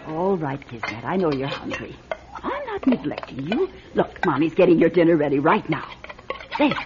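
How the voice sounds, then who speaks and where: Weary, theatrical old fortune-teller, swooping delivery. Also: female voice, sits low, huge pitch swings, moderate pace, music in the background. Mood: doting, weary. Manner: theatrical, hesitant, wheedling, calls people "my dear". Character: weary, shabby, aging female fortune-teller fond of her cat. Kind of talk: classic radio drama acting. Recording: muffled old radio drama recording.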